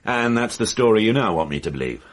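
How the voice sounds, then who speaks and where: Stern, deep-voiced police inspector. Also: male voice, big pitch swings, quick pace. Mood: stern, threatening. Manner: commanding, probing, clipped threats. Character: stern, shrewd male police inspector grilling suspects. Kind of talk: radio mystery drama acting.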